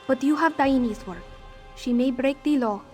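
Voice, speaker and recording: Woman doing a sly-living cat lady smuggler for a video game, highish voice, sure of herself. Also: normal speed, darkish tone, faint music behind, close to an everyday voice. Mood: wry, assured. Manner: assured, speaks of herself in third person. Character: roguish, principled female cat-folk smuggler. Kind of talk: fantasy video game dialogue.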